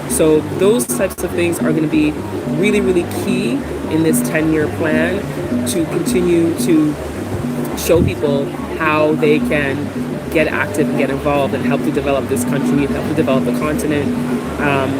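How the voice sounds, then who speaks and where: A woman's voice: low voice, rough recording. A woman talking casually to camera as a vlogger. She has a cold and fills her speech with ums and restarts. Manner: chatty, many ums and restarts. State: has a cold, occasional cough. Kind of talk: casual vlog talk to camera. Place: Ghana, with outdoor and traffic noise. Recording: loud background noise.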